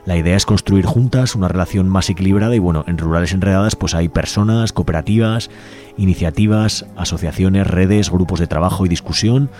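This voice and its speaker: Man, Peninsular Spanish accent, radio host, very low voice, dark mellow tone, halting rewording speech, quiet music underneath. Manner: unhurried, halting, repeats and reworks phrases. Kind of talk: unscripted radio talk segment. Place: radio studio. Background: soft music bed.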